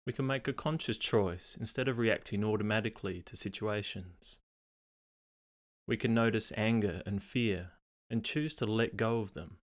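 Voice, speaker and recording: A man reading a scripted guided meditation in a calm, unhurried, measured way, pausing between phrases. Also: on the low side.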